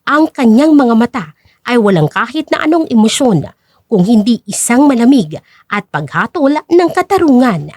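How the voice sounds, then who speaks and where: A woman's voice: fairly high pitch, very animated, normal speed, darkish tone, no echo. A woman reading scripted dramatic story narration as the narrator.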